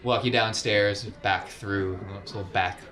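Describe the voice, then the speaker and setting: A man's voice: on the low side, steady tone, unhurried, a crowd in the background. A man casually narrating a tabletop role-playing game as its game master.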